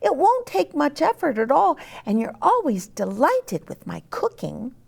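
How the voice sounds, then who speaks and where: A woman's voice: high voice, wildly swooping pitch, unhurried, vocal fry, darkish tone, pitched above the performer's own voice, heavily acted. A woman voicing a busy, bossy little hen in a storybook, clucking, pleading and scolding with exaggerated exclamations. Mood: coaxing, resigned.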